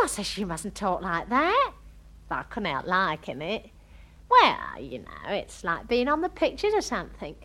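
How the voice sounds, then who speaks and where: A woman's voice: high-pitched, a bit bright. An actress playing a chatty, romantic young English woman with a working-class accent, gossiping in a comic monologue. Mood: coy, pleased. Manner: gossipy, dramatic storytelling, quoting others.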